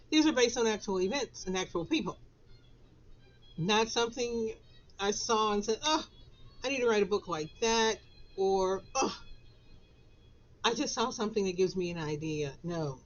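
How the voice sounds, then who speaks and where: A woman in her sixties with a Southern US accent, raspy after a flu, chatting casually to camera from her home office. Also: mid-range voice, muffled recording. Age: 60s. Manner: chatty, drawn-out, laughs between phrases. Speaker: author and vlogger.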